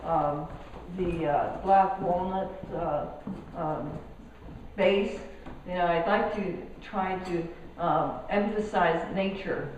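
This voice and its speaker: Soft-spoken woman in an echoey gallery. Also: lowish voice, recorded from a distance. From Colombia. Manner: halting, with many restarts and fillers. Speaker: artist giving a gallery talk. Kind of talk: casual artist talk. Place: gallery hall. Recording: echoey hall, speaker off mic, faint noise.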